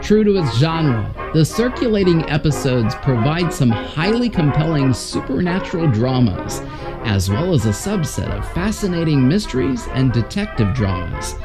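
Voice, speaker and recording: A man's voice: on the high side, very animated, normal speed. A man hosting with a scripted, announcer-like narration. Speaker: host. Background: music.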